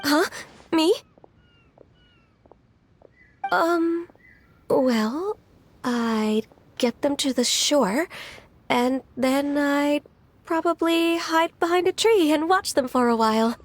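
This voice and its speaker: An actress playing a gentle, shy young woman in a video game, soft-spoken, earnest and a little hesitant. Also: high-pitched, takes it slowly. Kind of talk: video game character acting. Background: music.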